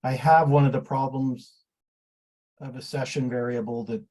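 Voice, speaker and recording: A man talking casually as a participant in a software community call. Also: medium pitch, level tone, moderate pace, low-quality recording.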